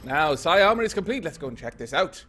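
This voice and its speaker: A man's voice: on the high side, very animated, quick pace, fairly bright tone. A man casually commentating on a strategy game he is playing, thinking aloud with many fillers, a little congested from a cold. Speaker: gaming video host.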